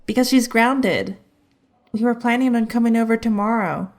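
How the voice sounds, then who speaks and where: A woman's voice: a little high, normal speed, natural voice. A woman playing a firm, loyal teenage heroine in an audio drama, earnest and resolute.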